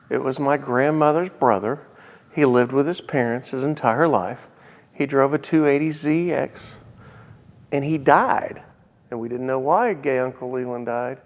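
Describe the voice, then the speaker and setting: A middle-aged man hosting a casual car vlog, ad-libbing in a blunt, joking, salty way. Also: mid-range voice. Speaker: vlog host and car dealer. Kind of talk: casual, ad-libbed walkaround vlog.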